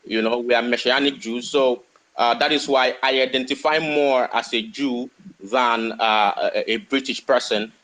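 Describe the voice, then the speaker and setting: A man speaking as a rabbi and guest in a live discussion, answering in a hesitant way with many pauses and fillers. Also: mid-range voice, steady tone, fairly bright tone, low-quality recording.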